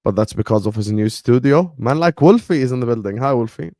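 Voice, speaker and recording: A man's voice: medium pitch, lively intonation, fast talker, mellow, no room sound. Host, male, easygoing football banter, dry close mic. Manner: chatty, repeats "you get me", mixes in Arabic phrases. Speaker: podcast host.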